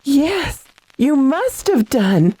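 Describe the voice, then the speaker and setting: A woman playing a frightened, dazed young heiress in a radio horror drama, with a halting, earnest way of talking. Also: high-pitched, big pitch swings, warm tone, no echo, exaggerated voice. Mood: subdued, dazed.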